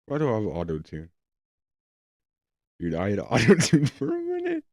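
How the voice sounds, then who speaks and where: A man hosting a live weather stream, answering viewer questions casually and hesitantly, his voice going hoarse. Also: medium pitch, huge pitch swings, normal speed, darkish tone, no room sound, clean studio sound. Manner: hesitant, with many ums and restarts. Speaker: livestream weather host.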